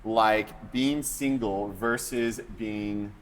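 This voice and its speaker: Joking, animated American man hosting. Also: fairly low pitch, extremely slow, a little hiss or hum, low-quality recording. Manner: animated and joking, lots of fillers. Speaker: podcast host. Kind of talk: casual chat.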